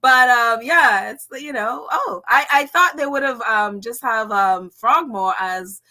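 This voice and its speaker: A woman's voice: a little high, a little slow, sharp tone. A woman with a Caribbean accent hosting a casual livestream, reading chat comments aloud with frequent laughs and fillers. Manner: chatty, laughs often, many fillers. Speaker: channel host reading live chat.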